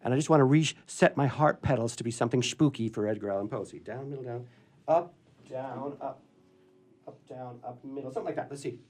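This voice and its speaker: A man's voice: medium pitch, brisk, darkish tone. A man hosting a radio theatre show with a playful, theatrical way of talking. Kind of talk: casual banter and poetry reading. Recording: harp music behind the voice. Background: plucked harp music.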